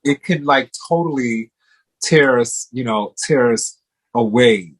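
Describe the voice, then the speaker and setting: A man's voice: medium pitch, slow pace, breathy edge, poor audio. A man hosting an interview, responding to his guest in a supportive, affirming way with many "you know" asides. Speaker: host, therapist-style interviewer.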